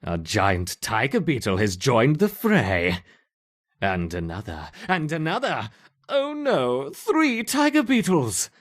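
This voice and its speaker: A man playing a gleefully sadistic scientist in a video game, theatrical and savoring, with mock innocence and a husky voice. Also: medium pitch, huge pitch swings, takes it slowly, heavily acted. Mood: gleeful, mock-dismayed. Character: gleefully sadistic male scientist running ant experiments. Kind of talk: video game character acting.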